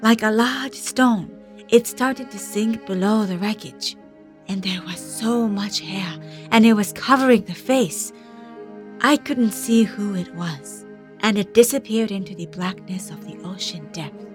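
An actress as a wistful woman diver telling a haunting story of the sea. She speaks slowly, in an intimate, hushed and reflective way, with music underneath, as in an audio drama. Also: mid-range voice, natural voice. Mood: hushed, uneasy. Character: wistful Japanese ama diver woman recounting her haunting underwater memories.

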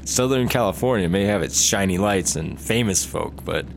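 Excitable, eager young traveler, man playing, lively pitch, noisy plane-cabin background. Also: normal speed, creaky. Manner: excitable, pitch jumps high when excited. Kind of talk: comedy audio drama acting.

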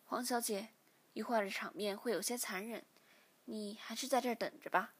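A woman's voice: a little high, steady tone, brisk, husky. Give me a woman doing a hard male squad captain interrogating a captive in a spoken story, clipped and menacing, barely shifted from her own voice. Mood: polite. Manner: curt, coldly menacing.

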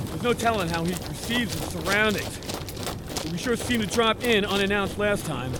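A man playing the brisk, direct leader of a team of scientists in a sci-fi audio drama. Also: sits high, expressive, noisy background. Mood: wary. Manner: authoritative, direct.

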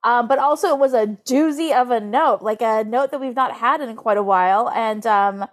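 A woman co-hosting a podcast, chatting casually in a halting way with lots of filler words. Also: on the high side. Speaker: podcast co-host. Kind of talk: casual chat, animated recap.

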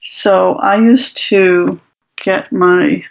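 A woman from Morocco who teaches writing workshops, speaking as a guest in an interview in a thoughtful, measured way. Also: lowish voice, steady tone, gravelly, dull audio. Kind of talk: interview, reading her own prose aloud.

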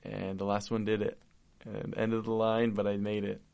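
Low gravelly young man, earnest. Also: monotone, darkish tone. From Argentina. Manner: earnest, heartfelt, halting with restarts. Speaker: podcast guest. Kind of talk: casual conversation.

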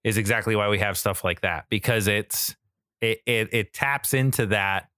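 Bright-voiced, low-pitched man chatting casually. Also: moderate pace, no room sound, studio quality. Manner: emphatic, slangy, mildly profane. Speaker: podcast co-host. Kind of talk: casual chat about video games and media.

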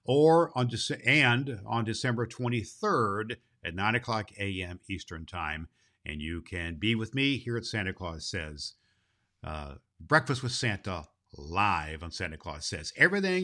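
Kindly old Santa Claus acted by a man, children's show host, folksy and rambling, bright voice with very lively pitch. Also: measured pace. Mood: cheerful, promotional. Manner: folksy, rambling, emphatic, repeats himself.

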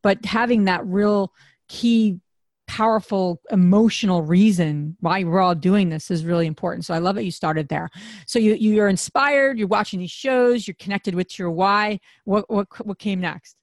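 A woman's voice: mid-range voice, moderate pace, dry recording, clean studio sound. A woman co-hosting a podcast interview, reflecting on the guest's story and addressing listeners. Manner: reflective, affirming, speaks to the audience. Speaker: podcast co-host. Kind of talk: casual interview.

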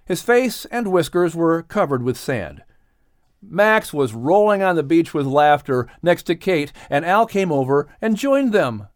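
A man reads a children's story with expressive, animated delivery. His voice is fairly high and moves widely in pitch, recorded close and dry. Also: a little slow, dry recording. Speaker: audiobook narrator. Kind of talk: scripted children's story narration.

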